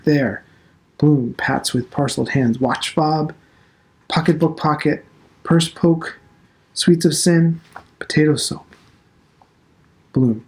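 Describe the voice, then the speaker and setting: A man reading a novel aloud as dramatic narration, deliberate and expressive. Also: mid-range voice. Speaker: literature instructor reading aloud.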